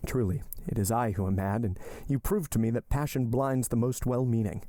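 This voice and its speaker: A man voicing a passionate young suitor in an audiobook, formal and measured, with bitter, desperate intensity. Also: medium pitch, mellow, dry recording, lightly acted. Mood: bitter, controlled. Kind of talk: audiobook character dialogue.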